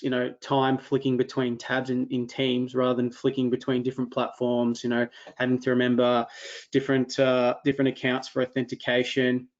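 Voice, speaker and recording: Man demoing software, monotone. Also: medium pitch, muffled recording. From Australia. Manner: loose and conversational, frequent ums and restarts. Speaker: product presenter. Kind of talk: webinar software demo.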